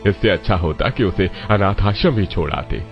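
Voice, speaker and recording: A man's voice: low-pitched, expressive, normal speed, pitched below the performer's own voice. A man voicing a stern, disapproving older father-in-law in a cartoon, speaking in a reproachful, questioning way. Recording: dull lo-fi voice-over with faint music.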